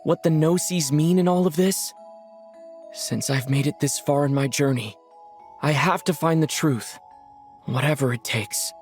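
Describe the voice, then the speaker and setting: A man's voice: fairly high pitch, a little slow, soft background music, lightly acted. An actor playing an earnest, determined young male traveler in a video game. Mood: earnest, resolute.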